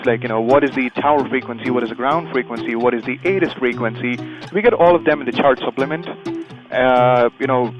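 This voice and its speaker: Man explaining flying, in dull lo-fi audio. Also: medium pitch. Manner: explanatory, step by step. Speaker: private pilot, video host. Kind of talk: instructional vlog commentary with radio calls from the cockpit. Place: small plane cockpit.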